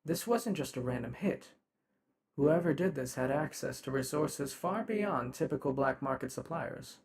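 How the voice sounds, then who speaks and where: Man acting formal robotic detective's partner, gravelly edge. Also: fairly high pitch, animated, a little mellow. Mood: static, analytical. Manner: formal, measured, clinical. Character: polite, methodical robotic AI detective's partner, emotionally restrained. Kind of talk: ASMR roleplay character acting.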